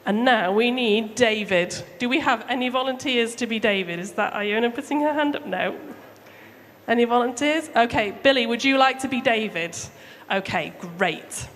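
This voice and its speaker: Female live children's storyteller, highish voice, theatrical and emphatic, church hall reverb with noticeable noise. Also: fairly bright tone. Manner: theatrical, emphatic, deliberate phrasing. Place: large room, live church service. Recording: echoey hall, clear background noise.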